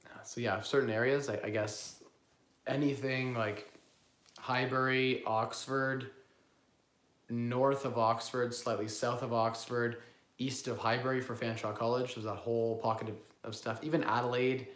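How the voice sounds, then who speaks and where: A man with a cold, hoarse, hosting a casual live-stream Q&A on investing and rambling as he answers viewers. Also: medium pitch, flat delivery, unhurried, a bit bright, echoey room. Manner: rambling, self-correcting, repeats words. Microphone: phone built-in mic, no lapel.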